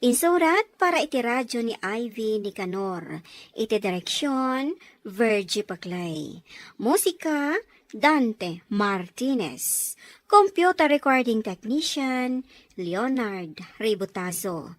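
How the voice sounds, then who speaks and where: A woman's voice: fairly high pitch, lively intonation, takes it slowly, no echo. A woman narrating and announcing a radio drama in a scripted style.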